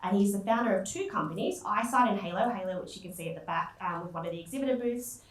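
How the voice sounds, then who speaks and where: A woman's voice: medium pitch, fast. A woman hosting an event, giving a semi-scripted introduction of a speaker from the stage. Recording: echoey room, far from microphone.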